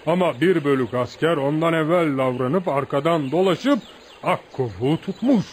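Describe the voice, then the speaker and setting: An actor in a radio play voicing a deferential village man who tells outlaw legends with admiration, like an animated folk storyteller. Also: fairly high pitch, normal speed, lightly acted. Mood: reflective storytelling. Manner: animated folk storyteller, addresses listener as sir. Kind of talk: radio theatre acting. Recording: noisy radio drama recording.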